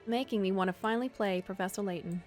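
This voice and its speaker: A woman streams herself reading a story game aloud. She narrates expressively and drops into casual asides, at a medium pitch, with faint music behind her. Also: fast.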